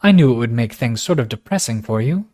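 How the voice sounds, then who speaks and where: A man voicing a frank, impulsive young woman from a wealthy family, speaking high and fast. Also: expressive, darkish tone, natural voice. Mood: passionate. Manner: high, passionate, rushed.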